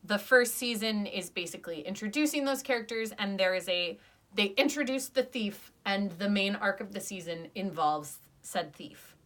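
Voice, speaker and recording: A young woman in casual conversation, her voice bright and forward. She talks with eager, chatty enthusiasm and argues in good fun. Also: medium pitch, animated, normal speed. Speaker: podcast review co-host. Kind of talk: casual chat.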